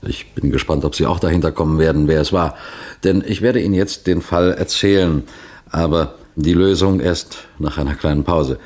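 Veteran detective inspector, deep register, formal didactic storyteller, vintage German radio mystery. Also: male voice, darkish tone. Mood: assured, lecturing. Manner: measured, formal, didactic address to listeners. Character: experienced police detective, lecturing and assured storyteller. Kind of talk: 1960s German radio drama narration.

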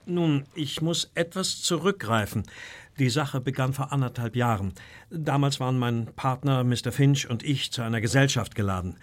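A man's voice: medium pitch, moderate pace, lightly acted. A man playing an anxious, well-bred Victorian London art dealer telling his story in a German audio drama. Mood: measured recounting. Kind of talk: German audio drama acting, narrating flashbacks. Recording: music behind the voice.

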